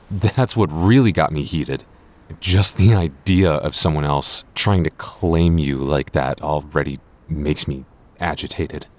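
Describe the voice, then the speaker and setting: A man playing a jealous, apologetic boyfriend in an audio roleplay, speaking earnestly with hesitant stammers. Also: low-pitched. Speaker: voice actor.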